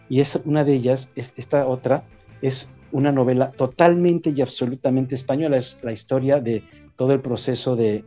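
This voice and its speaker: Mellow-voiced man with a Mexican accent. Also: medium pitch. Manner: reflective, halting, with frequent restarts. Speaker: writer being interviewed. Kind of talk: conversational interview.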